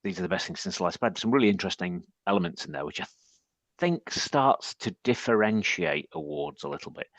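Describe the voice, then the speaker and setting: A man from northern England with a deep husky voice, hoarse from shouting, talking as a guest on a podcast with many restarts. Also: animated, fairly quick, dry recording. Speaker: podcast guest, agency head. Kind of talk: casual panel chat.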